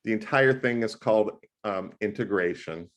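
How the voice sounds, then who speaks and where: A man with a fairly low voice tutors math one-on-one over a video call. He talks loudly and with plenty of animation, and he keeps slipping jokes into the lesson. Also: moderate pace. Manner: loud, animated and jokey.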